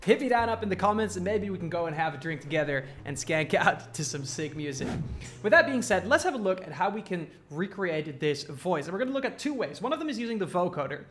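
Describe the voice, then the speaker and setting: A man's voice: high voice, sing-song, fast talker, fairly bright tone. A man hosting a music production tutorial in a casual, informal and enthusiastic way.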